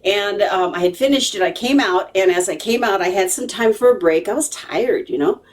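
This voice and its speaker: A woman's voice: medium pitch, moderate pace, recorded from a distance, slight background noise. A woman telling a story casually to viewers in a chatty, emphatic, digressive way, often checking in with her listeners. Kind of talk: casual, animated storytelling to camera.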